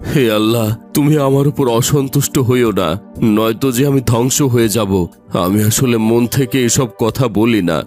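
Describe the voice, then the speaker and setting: A man's voice: mid-range voice, a little mellow, lightly acted. A man voicing a poor, hot-tempered husband in a cartoon, grumbling and plaintive, fretting and pleading. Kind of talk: cartoon voice-over dialogue.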